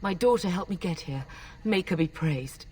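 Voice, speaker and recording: A woman's voice: fairly low pitch, expressive, moderate pace, darkish tone, breathy edge, far from the mic. An actress playing a proud, devoted middle-aged noblewoman in a fantasy game, firm, earnest and dignified. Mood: urgent. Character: proud noblewoman mother, fierce, devoted to husband.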